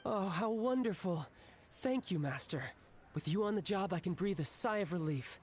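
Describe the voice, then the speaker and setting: A man's voice: sits high, animated, normal speed, a little hiss or hum. A man voicing a deferential, earnest guild servant in a video game, anxious and eager to please. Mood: grateful, deferential. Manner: respectful, earnest.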